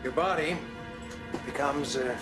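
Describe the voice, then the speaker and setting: An actor, playing a weary, grave field doctor in a game drama, explaining in a measured, halting way. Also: male voice, a little high, loud music behind the voice, close to an everyday voice. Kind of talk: film drama acting.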